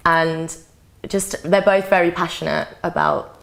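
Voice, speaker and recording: Woman, twenties, very low voice, vocal fry, rambling, filler-heavy chat. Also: huge pitch swings, a little slow, rough recording. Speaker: guest, daughter. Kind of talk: casual chat.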